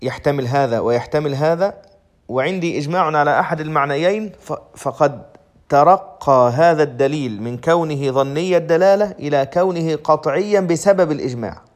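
A man's voice: fairly high pitch, a little fast. A man giving a lecture on a legal text, methodical and restating each point in simpler words. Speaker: Islamic law teacher.

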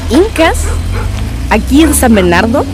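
A woman's voice: high voice, big pitch swings, measured pace, poor audio. A woman playing a curious, wondering young woman in a radio drama, with a Chilean accent. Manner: inquisitive, wondering. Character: Cecilia, curious young woman, wide-eyed visiting friend.

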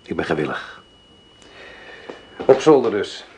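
An actor playing a recovering sick man in a radio play, speaking quietly and measuredly with a dry, pointed edge. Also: low-pitched, sing-song, a little slow, noisy background, exaggerated voice. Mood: quiet, wary. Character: convalescent man, quiet, watchful and suspicious.

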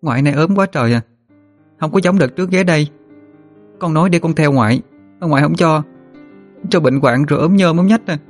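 A man's voice: on the high side, brisk, pauses often, soft background music, pitched above the performer's own voice, close to an everyday voice. A man voicing a spirited, scrappy young granddaughter in a thin, whiny, scolding voice for a dramatized story. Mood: complaining. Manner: whiny, scolding, repeats words.

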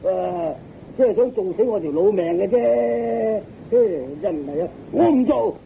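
Muffled, grumbling old farmer. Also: male voice, sits high, lively intonation, measured pace, gravelly, warm tone, full character voice. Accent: Hong Kong Cantonese. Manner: complaining, grumpy, colloquial. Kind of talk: radio drama acting. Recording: muffled radio recording, faint noise.

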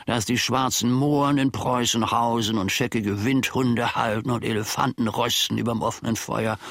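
A male actor in a German radio play, playing a sly, grumbling old manservant with a gravelly, husky voice and a wry, conspiratorial, self-satisfied way of telling things. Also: mid-range voice, fairly bright tone, dry recording, studio quality. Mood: scornful, wry.

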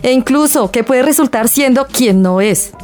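A woman's voice: high-pitched, normal speed, darkish tone, soft background music, lightly acted. A Colombian woman playing a sceptical, scolding realist in a colloquial scripted radio sketch. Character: sceptical, scolding woman warning against online romance.

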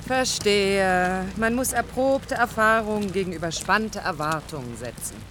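A woman's voice: mid-range voice, lively intonation, unhurried, fairly bright tone, a little hiss or hum. An actress playing a defiant, idealistic young woman architect in a radio drama, challenging and sarcastic in impassioned dialogue. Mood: teasing, sarcastic. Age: young adult.

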